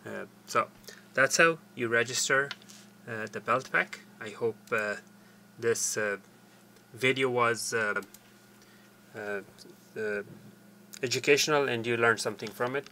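An older man explaining a technical procedure step by step in a halting, deliberate way. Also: mid-range voice, sharp tone, a little hiss or hum. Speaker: tutorial presenter. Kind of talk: hands-on technical tutorial, explanatory. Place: small cluttered indoor workshop.

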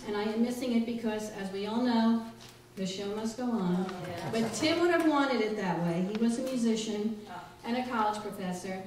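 A woman's voice: mid-range voice, darkish tone. A middle-aged woman hosting a live event with a wry, hesitant, joking delivery. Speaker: library director and show host. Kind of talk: live welcome speech to an audience. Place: small library room indoors with a live audience. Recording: very echoey room, distant mic, faint noise.

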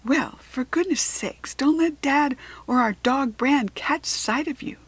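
A woman's voice: high-pitched, a little slow, voice pushed up. A woman voicing an earnest, caring, anxious young farm girl in a children's storybook, speaking emphatically. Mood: concerned. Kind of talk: children's storybook character reading.

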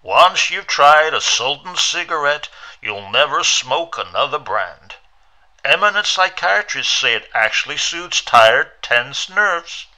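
Man doing a slick, bright radio pitchman. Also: expressive, slow pace, full character voice, poor audio. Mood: emphatic selling. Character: eerie radio advertising voice, slick salesman patter. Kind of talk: old radio commercial.

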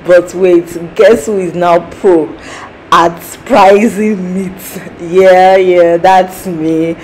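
A woman's voice: lowish voice, steady tone, extremely slow, darkish tone, a little hiss or hum, low-quality recording. A young Nigerian woman, a native Yoruba speaker, chatting casually to her viewers as a food market vlogger. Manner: chatty, addresses viewers directly. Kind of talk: casual vlog narration.

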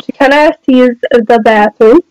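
A woman's voice: high-pitched, moderate pace, a little mellow, dry recording, low-quality recording. A young woman with a nasal voice from a cold, chatting casually over her game with many fillers and hesitations. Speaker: let's-play host.

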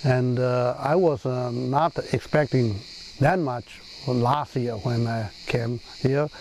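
Very slow, mellow middle-aged man. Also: mid-range voice, steady tone, a little hiss or hum, low-quality recording. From Taiwan. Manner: slow, deliberate. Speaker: institute director. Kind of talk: interview. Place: outdoors among trees.

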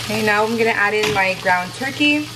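A woman narrates her meal prep in a chatty, friendly way, explaining each step for viewers. Her voice sits at a middle pitch for a woman. She is recorded on the camera mic in a home kitchen. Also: animated, fairly quick, heavy background noise. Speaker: cooking vlogger.

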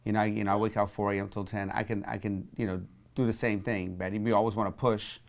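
A man's voice: lowish voice, level tone, fast, creaky. A man hosting a podcast, agreeing with his guest in casual chat, saying "you know" over and over, heard from a distance. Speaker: podcast host. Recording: heard from far off the mic.

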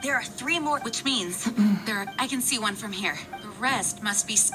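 A woman's voice: mid-range voice, moderate pace, natural voice. A woman playing an adventuring heroine in a video game. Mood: focused. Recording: game audio with music behind.

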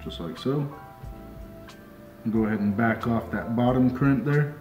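A young man working as a fishing deckhand calmly walks through a hands-on demonstration step by step, often saying "just like so" as he works. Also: medium pitch. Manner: calm, unhurried, repeats "just like so" while working with his hands. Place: small indoor tackle shop workbench. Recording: roomy sound with music underneath.

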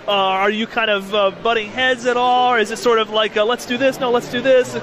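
A man's voice: very high voice, steady tone, a little fast. A man interviewing someone on site in an informal, affirming way. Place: large indoor convention hall. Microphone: handheld interview microphone. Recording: busy convention hall crowd noise. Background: crowd chatter.

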